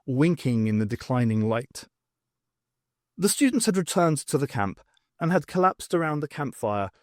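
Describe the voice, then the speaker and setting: A man reading a science fiction audiobook as narrator, in an expressive storytelling manner. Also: medium pitch, dry recording, clean studio sound.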